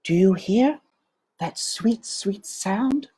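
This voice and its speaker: A woman voicing a small, dreamy young male mouse in a storybook, soft and hesitant. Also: medium pitch, expressive, extremely slow, slightly rough, voice pushed up, heavily acted. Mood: dreamy, timid.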